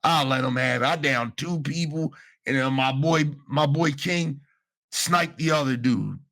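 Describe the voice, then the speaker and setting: Slangy gravelly-voiced male gaming podcaster. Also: mid-range voice, a little slow. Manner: slangy, profane, animated retelling with acted-out quotes. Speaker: podcast host. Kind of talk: casual gaming podcast storytelling.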